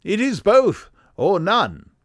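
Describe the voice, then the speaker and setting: A man voicing a commanding, eager gentleman detective with a high-pitched, very English voice, brisk and courteous, in an audiobook. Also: wildly swooping pitch, dry recording, exaggerated voice. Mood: courteous, firm.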